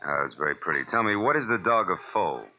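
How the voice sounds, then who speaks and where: An actor playing a wry, tough American private detective, with dry, slangy delivery in the style of 1940s radio drama. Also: male voice, low voice, lively intonation, fast talker, a little hiss or hum. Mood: casual, probing. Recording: muffled vintage radio, loud music behind.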